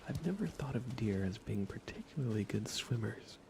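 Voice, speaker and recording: A soft-spoken man rambling through relaxed ASMR live gaming commentary, musing aloud hesitantly and often slipping into a whisper. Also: fairly low pitch, moderate pace, creaky, background noise. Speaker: livestream game streamer.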